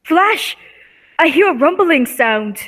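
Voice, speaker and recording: Casting: woman as brave, devoted young serial heroine, earnest, melodramatic, fairly high voice. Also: sing-song, slow, a bit bright, full character voice. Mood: anxious. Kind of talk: amateur old-time radio serial acting.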